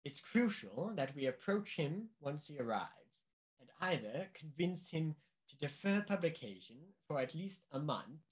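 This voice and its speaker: Radio mystery: male actor as scheming, long-winded statesman, pompous, unhurried, with animated swoops in pitch. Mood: earnest, conspiratorial. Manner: pompous, deliberate, emphatic. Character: pompous, anxious upper-class male government official, scheming and long-winded. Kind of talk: radio play mystery acting.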